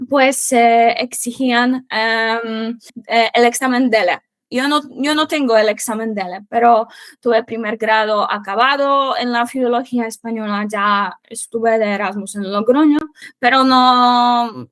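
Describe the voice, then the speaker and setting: A young woman from Poland with a Polish accent, a native Polish speaker answering interview questions in a halting way as she searches for words. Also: fairly high pitch, a bit bright, no room sound. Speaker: guest, Spanish teacher.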